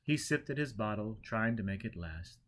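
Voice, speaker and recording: A man reading a storybook aloud as scripted narration, in an expressive way that leans into the drama. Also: lowish voice, normal speed, slight background noise. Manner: expressive, acts out characters' dialogue. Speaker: audiobook reader.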